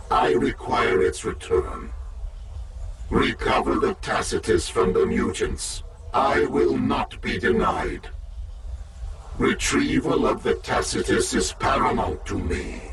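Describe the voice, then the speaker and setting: An actor playing a cold, calculating, imperious military artificial intelligence in a video game cutscene, speaking in formal, measured, menacing declarations. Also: male voice, mid-range voice, gravelly, full character voice. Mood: defiant. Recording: very noisy, distant game cutscene audio.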